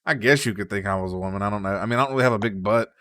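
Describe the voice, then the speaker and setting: A man's voice: on the low side, rapid-fire, fairly bright tone, no room sound. A young man co-hosting a podcast, telling long stories in casual chat, full of fillers and restarts. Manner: rambling storyteller, many fillers and restarts. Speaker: podcast co-host.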